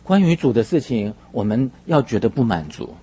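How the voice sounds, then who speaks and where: A man interpreting a sermon phrase by phrase, husky and somewhat halting, rephrasing as he goes. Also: mid-range voice, very animated, mellow, a little hiss or hum.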